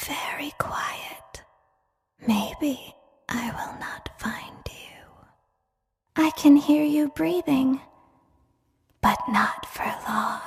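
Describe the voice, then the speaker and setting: In a long, reverberant hall, a high-voiced female animatronic clown for a horror game. The robot speaks slowly and softly, like a child, with a calm that is quietly sinister. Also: vocal fry, exaggerated voice. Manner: slow, deliberate, soft-spoken, sinister calm. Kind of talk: scripted horror game voice acting.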